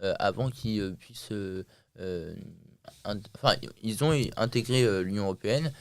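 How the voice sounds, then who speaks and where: A man's voice: fairly low pitch, moderate pace, no room sound, clean studio sound. A man hosting a podcast interview, asking questions in a hesitant way with many fillers. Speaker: podcast host.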